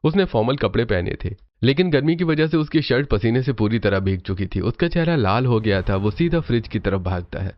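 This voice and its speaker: A man's voice: mid-range voice, animated, a little fast, few pauses, no room sound. A man narrating a scripted cartoon story. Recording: muffled audio over loud music.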